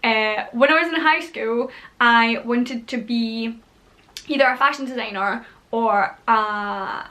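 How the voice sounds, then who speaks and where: A chatty Scottish woman in her thirties talks straight to camera in a casual vlog. Her voice sits a little high and sounds bright and forceful. She is bubbly and drops playful whispered asides. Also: slow pace. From Scotland. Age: 30s. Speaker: vlogger.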